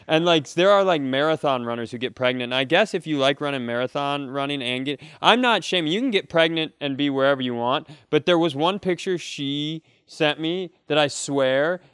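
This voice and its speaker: A man's voice: fairly high pitch, a bit bright, smooth, no room sound, clean studio sound. A man co-hosting a comedy podcast, telling stories casually in a joking, profane, rambling way. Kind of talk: casual comedic storytelling chat.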